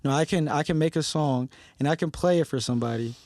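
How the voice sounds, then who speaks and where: A young man from Detroit talks in a loose podcast chat with a voice pitched a little above most men's. He gets heated and swears for emphasis. The sound is close and dry, with no room around it. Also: fast, darkish tone, no room sound. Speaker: rapper guest. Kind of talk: casual podcast conversation.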